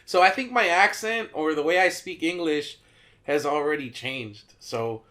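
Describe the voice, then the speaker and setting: A young man from Chicago with a Chicano accent, hosting a casual podcast chat, animated and quick to laugh, with plenty of slang. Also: a little high. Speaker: podcast host.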